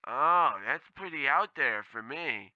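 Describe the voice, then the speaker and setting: A man's voice: fairly high pitch, animated, unhurried, phone-quality sound. A man playing a blithely tactless temp agency clerk who rambles and bumbles in a comedy radio play. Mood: flustered, confused. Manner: rambling, bumbling, bluntly insensitive.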